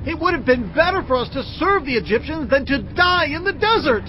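An actor voicing terrified Israelites, complaining in an anxious, accusing way. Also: male voice, very animated, brisk, pushed and bright, heavily acted, low-quality recording. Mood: panicked. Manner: anxious, accusing, high-strung. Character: frightened, complaining crowd of Israelites.

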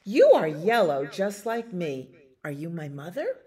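Lady doing a lil lonely baby bird from a storybook, high voice, tearful n pleading, swoops around a lot. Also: big pitch swings, slow. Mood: hopeful, pleading. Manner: pleading, earnest, childlike. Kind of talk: children's storybook read-aloud.

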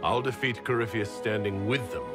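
A man playing a grave, principled mage hero in a fantasy game, speaking earnestly and deliberately. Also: lowish voice, lightly acted. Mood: grave, determined. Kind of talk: dramatic fantasy game dialogue. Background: music.

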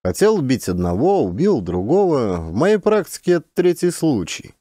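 A man as a dismissive, animated official investigator. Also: mid-range voice, normal speed, no echo, clean studio sound. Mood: dismissive, assured. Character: jaded, self-assured male court investigator, dismissive.

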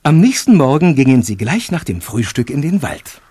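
A man narrating a children's audio drama as a calm, husky-voiced storyteller. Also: medium pitch, normal speed, no room sound, clean studio sound. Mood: calm narration. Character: calm adult male storyteller.

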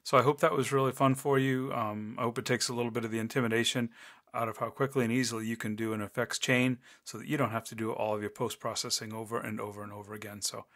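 Deep-voiced man walking through software steps. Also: fast talker. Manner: relaxed, talks through each click step by step. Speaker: software tutorial host. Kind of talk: casual screencast tutorial. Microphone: desk microphone, voice-over of screen recording.

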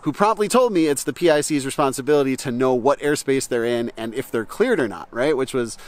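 A man's voice: medium pitch, a little fast, no room sound. A middle-aged American man, a flight instructor, explaining things casually to camera in a conversational way and checking in with his listeners. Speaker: flight instructor and channel host.

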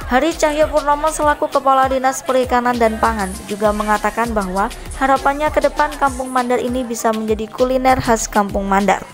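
A woman reporter, reading a scripted news voice-over in an even, measured way. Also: high voice. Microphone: studio voice-over mic. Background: background music.

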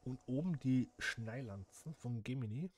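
A man's voice: medium pitch, unhurried, darkish tone. A man, chatting casually to viewers and thinking aloud as he plays a simulation game. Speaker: gaming channel host. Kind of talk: casual gameplay commentary.

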